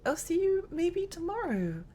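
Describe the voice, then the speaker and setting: A woman's voice: very high voice, big pitch swings, measured pace, warm tone, a little hiss or hum. A woman streaming a game live, chatting in a rambling, giggly way while her voice strains and tires. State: strained, tiring voice.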